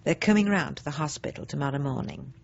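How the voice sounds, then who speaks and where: Radio monologue: snobbish middle-aged housewife, self-righteous and prim, husky with a creaky edge, on a slightly dull recording. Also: female voice, sits low, fast talker, darkish tone. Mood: indignant. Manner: prim, pointed, self-justifying, with emphatic swoops. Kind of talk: radio drama monologue, confiding.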